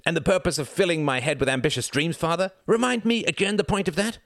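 A man's voice: big pitch swings, normal speed, fairly bright tone, dry recording, studio quality. A man reading as an arrogant, sneering upper-class young man, indignant and haughty. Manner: haughty, indignant, rising shrill.

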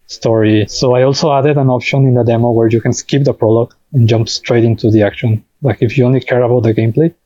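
A man whose first language is Spanish talks casually as a game developer being interviewed. His voice is dark and relaxed at a medium pitch, with piecemeal, explanatory phrasing. The recording is dry but dull and lo-fi. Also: fast, hardly any pauses, dry recording.

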